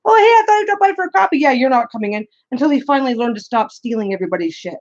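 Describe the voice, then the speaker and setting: Woman, scratchy voice, rambling casual chat, fairly high pitch, dull lo-fi stream sound. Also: expressive, racing, a little mellow. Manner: rambling, many restarts and repeats. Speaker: co-host of a live stream.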